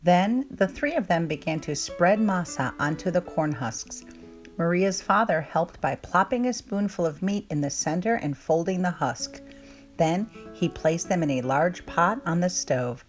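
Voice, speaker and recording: A woman narrating a children's storybook aloud in an expressive, measured way. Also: fairly low pitch. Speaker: children's book reader. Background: plucked guitar music.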